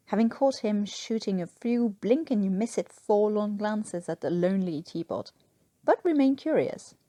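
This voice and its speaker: A woman reading a scripted story aloud as an expressive narrator. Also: mid-range voice, no room sound. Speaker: fan fiction narrator.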